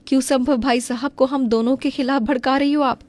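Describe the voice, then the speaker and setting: A woman's voice: sits high, fairly even intonation, fairly quick, a little mellow, lightly acted. A woman playing an aggrieved, outspoken young wife in a cartoon story, earnest and emphatic. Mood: indignant. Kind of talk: Hindi cartoon story acting.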